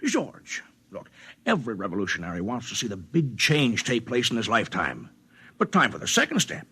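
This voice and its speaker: A man playing a cynical, bossy racketeer in a 1950s radio drama, theatrical and mocking, with a husky voice. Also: mid-range voice, wildly swooping pitch, brisk, bright, forceful tone, heavily acted. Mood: cynical, impatient. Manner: sardonic, theatrical, domineering.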